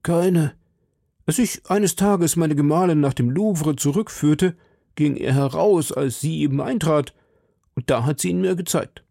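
A man's voice: on the high side, a little slow, gravelly, mellow, no room sound, clean studio sound, voice pushed up. A man voicing a timid, deferential middle-aged landlord in an audiobook, hesitant and formally polite. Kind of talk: audiobook character dialogue.

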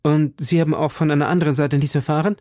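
A man's voice: a little high, fairly even intonation, darkish tone, no echo, clean studio sound, voice pushed up, lightly acted. Man voicing a brash, eager young gallant. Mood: pressing. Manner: brisk questioning, exclamatory. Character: brash, inquisitive young gallant, proud and impulsive. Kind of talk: audiobook character dialogue.

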